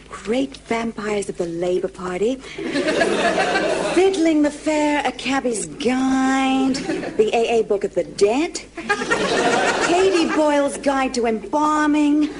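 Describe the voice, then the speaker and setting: A woman playing an excitable amateur sleuth in a British radio comedy. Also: medium pitch, heavy background noise. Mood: musing.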